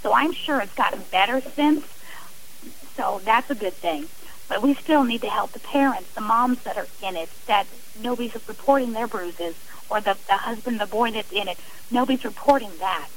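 A woman's voice: a little high, moderate pace, a bit bright, poor audio. Earnest middle-aged woman, radio guest, kinda rambly, faint noise behind. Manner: earnest, heartfelt, rambling with restarts. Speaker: interview guest. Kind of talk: radio interview, personal account.

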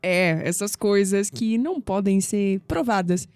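A woman's voice: mid-range voice, animated, unhurried, darkish tone, no room sound. A woman with a Brazilian Portuguese accent playing a skeptical, dryly sarcastic young woman in an audio drama. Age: young adult.

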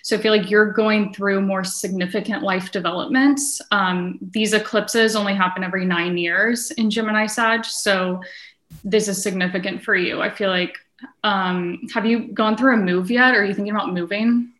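A woman's voice: medium pitch, steady tone, moderate pace, a bit bright, echoey room. A woman speaking as an astrologer, giving a casual, conversational reading with tentative phrasing and lots of "like". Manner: hedging, frequent use of "like". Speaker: astrologer guest.

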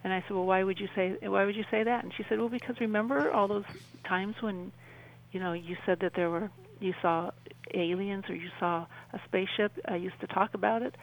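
A woman in her sixties with a Midwestern American accent, telling a personal story as an interview guest in a halting, conversational way. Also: medium pitch, fairly even intonation, poor audio. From southwestern Wisconsin, United States. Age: 60s.

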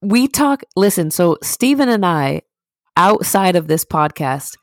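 Animated young woman, low voice, laughing chat. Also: unhurried, dry recording, clean studio sound. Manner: animated, laughing, swears casually. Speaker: podcast co-host. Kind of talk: casual podcast chat.

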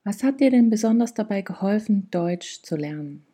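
Mellow-voiced woman interviewer. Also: medium pitch, animated, normal speed. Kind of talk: conversational interview questions.

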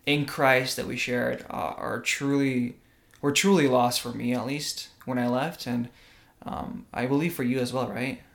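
A man's voice: mid-range voice, fairly even intonation, a little slow, gravelly. A young man from Russia co-hosting a casual religious podcast, earnest and often checking in with "right?". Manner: earnest, tag questions like "right?". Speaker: podcast co-host.

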